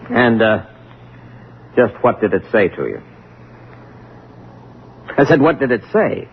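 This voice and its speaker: An actor playing a gruff, skeptical police sergeant in a vintage radio drama, brusque and sardonic. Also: male voice, mid-range voice, wildly swooping pitch, takes it slowly, long pauses, full character voice. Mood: skeptical questioning. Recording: tinny, noisy vintage radio recording.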